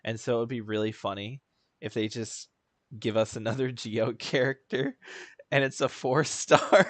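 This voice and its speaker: A man's voice: medium pitch, normal speed, dry recording. A young man giving casual, chatty reaction commentary while playing a game, thinking aloud and laughing. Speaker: gaming streamer.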